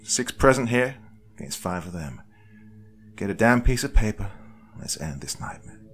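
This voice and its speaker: A man playing a venomous, exasperated man who talks forcefully and swears in a horror story. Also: on the low side, big pitch swings, moderate pace, a little hiss or hum, pitched above the performer's own voice. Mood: grim, frustrated.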